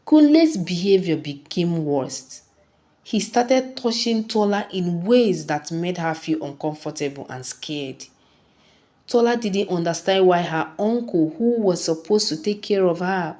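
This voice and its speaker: Slow, expressive woman storyteller. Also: fairly low pitch. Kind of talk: scripted folktale narration.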